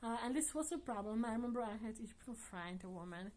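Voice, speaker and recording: A woman vlogger chatting casually to her viewers in earnest, non-native English, often laughing softly. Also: a little high, lively intonation, quick pace, slight background noise. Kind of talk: casual chat to camera.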